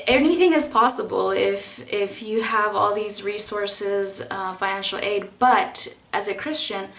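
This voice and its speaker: A woman's voice: mid-range voice, fairly bright tone. Earnest young woman in an echoey room. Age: young adult. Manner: earnest, reflective, many fillers and restarts. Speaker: college student vlogger. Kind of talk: personal faith testimony vlog.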